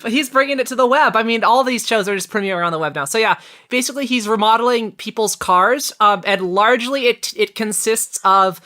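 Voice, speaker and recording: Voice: mid-range voice, a little fast, sharp tone. A comedy podcast panelist riffing casually, with a chuckly delivery and trailing asides.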